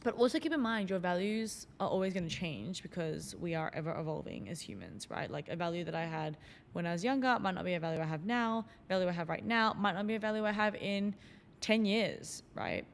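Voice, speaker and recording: A woman's voice: fairly low pitch, animated, fairly quick, creaky, big-room sound, a little hiss or hum. A woman hosting a solo podcast, talking in a loose, reflective way with lots of fillers. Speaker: podcast host.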